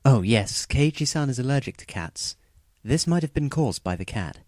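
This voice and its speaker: A man's voice: medium pitch, animated, moderate pace, a little mellow, dry recording, clean studio sound, lightly acted. A man playing a polite, composed teenage schoolboy in an audio drama, speaking formally and courteously.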